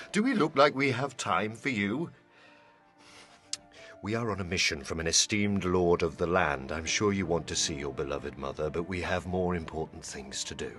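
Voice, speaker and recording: Man acting as proud ranger chief, low forceful voice, brusque, dismissive, fantasy audio drama. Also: expressive, unhurried, soft background music. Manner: commanding, dismissive.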